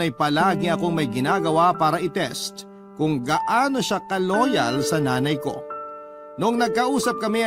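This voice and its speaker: A man with a Filipino accent narrating a dramatic first-person story in a scripted radio storytelling style. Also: on the high side. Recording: loud music under the voice. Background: loud background music.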